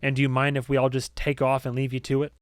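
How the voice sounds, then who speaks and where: A man's voice: medium pitch, monotone, fast talker, clear, resonant tone, no echo, studio quality, lightly acted. A man voicing a blunt, sarcastic young woman in an audiobook. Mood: wry. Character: wry, exasperated, outspoken young woman. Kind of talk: audiobook character acting.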